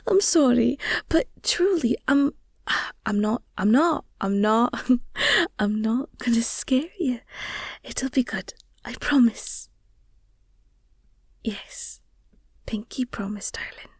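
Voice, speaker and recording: Woman doing a high voiced werewolf girlfriend for audio rp, slow n tender, teasing, protective, slips into whispers. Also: expressive, slightly rough, no echo, studio quality, heavily acted. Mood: teasing, affectionate. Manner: tender, teasing, murmured, often drops to whispers. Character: affectionate, playful, protective young werewolf girlfriend. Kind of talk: intimate girlfriend audio roleplay.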